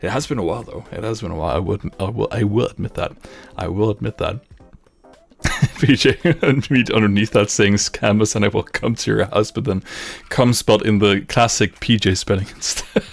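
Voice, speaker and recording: A man's voice: fairly low pitch, fairly quick, vocal fry. A young man with a Dutch-Flemish accent streaming casually from his home gaming room, laughing often and repeating himself as he reads chat aloud. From grew up in Luxembourg, Dutch parents, lives in Belgium. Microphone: studio mic on boom arm, headphones.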